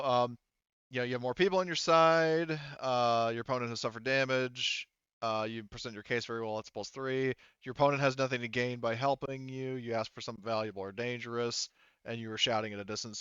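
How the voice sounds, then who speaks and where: A man's voice: medium pitch, a bit bright, clean tone, no room sound, dull audio. A man acting as game master in a tabletop game, casually narrating and refereeing as he thinks aloud in a hesitant way.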